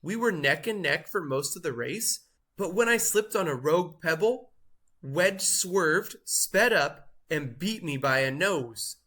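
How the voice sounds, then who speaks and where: A man voicing a boastful, show-off cheese in a theatrical, grandiose storybook read-aloud with drawn-out emphasis. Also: fairly high pitch, animated, bright, forceful tone, exaggerated voice. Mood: astonished, indignant.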